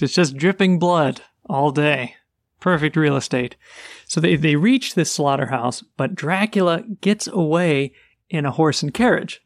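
Podcast co-host, male, higher voice, lively plot recap, dry mic. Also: dry recording. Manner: animated retelling of a plot. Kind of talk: casual book discussion.

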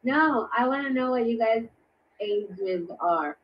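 A woman's voice: a little high, lively intonation, takes it slowly, dull audio, a crowd in the background. A woman on a dating show panel, chatting casually and laughing easily. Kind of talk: casual chat.

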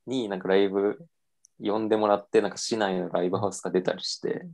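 A man's voice: fairly low pitch, steady tone, quick pace, gravelly, darkish tone. A young man casually telling a self-mocking story on a podcast, with lots of fillers.

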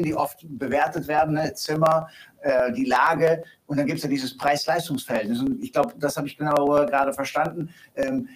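Man, from the Netherlands, co-host, casual talk, affirming, fairly high voice. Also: rough recording. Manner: friendly, affirming with frequent "ja".

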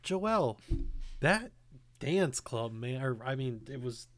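A man co-hosting a podcast, teasing his friends with deadpan jokes in casual chat. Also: mid-range voice, slow, no room sound. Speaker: podcast co-host.

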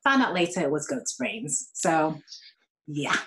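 Low-voiced woman with mixed Ghanaian-British-American accent. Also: animated, a little slow, creaky, fairly bright tone. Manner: chatty, with frequent ums. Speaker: podcast guest. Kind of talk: casual podcast interview.

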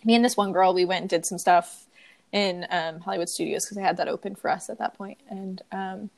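A woman's voice: mid-range voice, fairly even intonation, a little fast, vocal fry. A young woman co-hosting a podcast, telling a story in a chatty, filler-heavy way and sniffly from crying. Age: young adult. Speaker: podcast co-host. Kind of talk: casual chat, storytelling.

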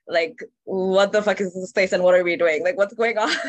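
A woman's voice: mid-range voice, expressive, normal speed, dry recording. A woman from Kenya speaking earnestly and reflectively in a casual panel discussion, with frequent fillers.

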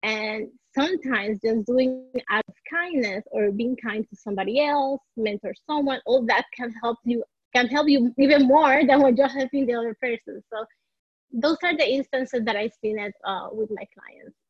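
A woman speaks in a slightly high, husky voice, often restarting her sentences as she searches for the right words. She sounds like a coach being interviewed on a podcast. The recording is dry and a little dull. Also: moderate pace, no room sound. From Puerto Rico. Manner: searching for words, frequent restarts, soft laughs. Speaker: life coach, podcast guest. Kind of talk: conversational interview.

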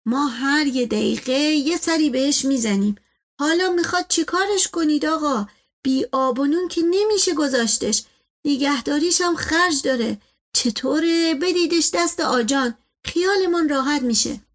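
A woman voicing a placating, folksy male servant in an audiobook story, with colloquial, deferential, drawn-out phrasing. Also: sits high, voice pushed up, close to an everyday voice. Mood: reassuring, coaxing.

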